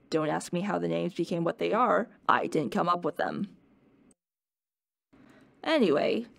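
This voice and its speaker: A woman's voice: fairly low pitch, creaky, dry recording. A woman playing a wry, playful professor who delivers a scripted lecture in a conversational, plain-explaining way. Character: playful, wry professor giving an unasked-for office-hours lecture. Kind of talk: scripted educational lecture in character.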